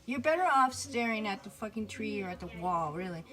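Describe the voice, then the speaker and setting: A woman's voice: medium pitch, animated, brisk, fairly bright tone. A woman from Miami co-hosting a podcast, chatting casually, swearing freely and drifting into asides. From Miami, Florida. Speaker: podcast co-host. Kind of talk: casual chat.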